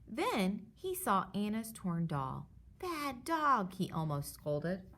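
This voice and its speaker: A woman reads a children's story in a very lively, swooping voice. She takes her time through the lines and acts out sounds and dialogue with expression. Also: on the low side, slow. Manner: animated, dramatizes sound effects and dialogue. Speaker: storybook reader. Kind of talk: expressive children's picture book read-aloud.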